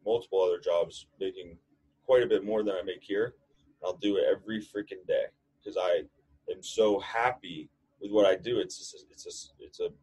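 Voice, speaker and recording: A man's voice: sits low, darkish tone, slightly rough, low-quality recording. A man in his late twenties, a strength coach co-hosting a podcast, talking bluntly in casual chat and swearing freely.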